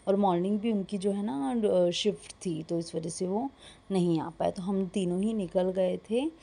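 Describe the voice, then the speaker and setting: A young woman from India narrating a casual daily vlog with a hoarse, gravelly voice, chatty and full of fillers. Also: medium pitch, moderate pace, warm tone. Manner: chatty, mixing in English phrases, many fillers. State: hoarse, sore throat. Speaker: vlogger.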